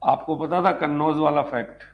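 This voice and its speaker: A man's voice: fairly high pitch, fairly even intonation, normal speed, highs cut off. Man teaching a live class. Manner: repeats points and quizzes listeners with questions. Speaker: teacher of an online history exam-prep class. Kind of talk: live lecture with chatty asides to students.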